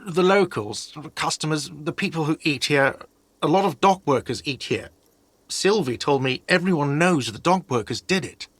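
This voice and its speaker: An actor playing an exasperated, sarcastic hostel manager in a video game, with an irritated, condescending way of talking. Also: male voice, on the high side, animated, moderate pace, a bit bright, slightly rough, no room sound. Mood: uneasy, evasive.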